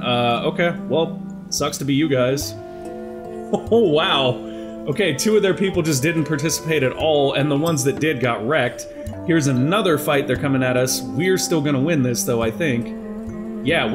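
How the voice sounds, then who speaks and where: A young man talks through his strategy game turn by turn, thinking aloud in a chatty, easygoing way. His voice is a little congested from allergies and sits at a middling pitch. Faint game music plays under his headset mic. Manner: chatty, thinking aloud while planning moves. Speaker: gaming commentator. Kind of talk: let's play strategy game commentary.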